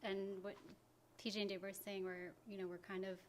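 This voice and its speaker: A woman, a guest in a casual interview, speaking in a thoughtful, measured way. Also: medium pitch, flat delivery, slight background noise. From Canada. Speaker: guest, community arts organizer.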